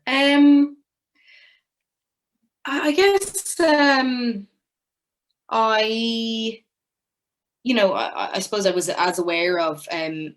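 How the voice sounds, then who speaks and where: A young woman with an Irish accent, an actress speaking as a guest, talking with frequent fillers and restarts. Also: mid-range voice. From Ireland. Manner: hesitant, many fillers and restarts. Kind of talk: casual interview talk.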